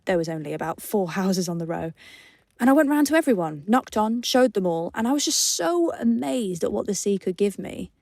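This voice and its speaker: A woman's voice: mid-range voice, very animated, moderate pace, vocal fry, darkish tone, no room sound. A woman sharing personal memories in an earnest, conversational, emphatic way, reflecting as she speaks.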